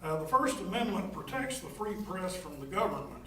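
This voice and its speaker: A man's voice: high voice, sing-song, brisk, a bit bright. An older man with a slight Texan accent giving informal opening remarks as moderator of a public forum. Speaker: panel moderator. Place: large echoing indoor venue, public forum. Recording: echoey, noisy room, distant microphone.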